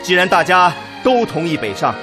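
An actor playing a decisive Red Army commander in a Chinese radio drama, chairing a war council in measured, rallying speeches. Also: male voice, high voice, sing-song, over music. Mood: measured, persuasive.

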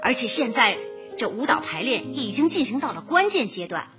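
High-pitched, eager young community director. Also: female voice, big pitch swings, normal speed, fairly bright tone. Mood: rousing, persuasive. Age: young adult. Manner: eager, coaxing, speechifying in slogans. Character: earnest, persuasive young community director, cheerful and tactful. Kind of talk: radio drama acting, light comedy. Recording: dull lo-fi audio with music behind.

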